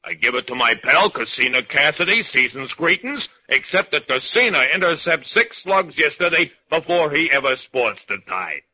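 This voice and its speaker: A man's voice: on the high side, very animated, normal speed, hardly any pauses, breathy edge, pitched above the performer's own voice. A man voicing a big, indignant, thick-headed hoodlum with blustering gangster slang in a 1940s-style radio crime drama. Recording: dull old radio recording.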